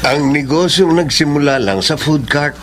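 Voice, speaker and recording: A man's voice: a little high, moderate pace, fairly bright tone, slight background noise, natural voice. A man playing a humble, grateful father in a radio drama. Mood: content. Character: humble poor father, later a shop owner.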